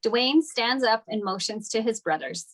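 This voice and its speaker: A woman's voice: a little high, lively intonation, moderate pace, fairly bright tone. A woman narrating the stage directions of a screenplay at a table read.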